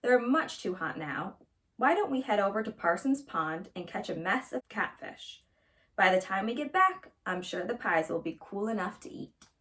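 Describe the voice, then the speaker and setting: A woman's voice: medium pitch, animated, normal speed, fairly bright tone. A woman reading a storybook as a cheerful, motherly mama bear with a doting manner. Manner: doting, chuckly.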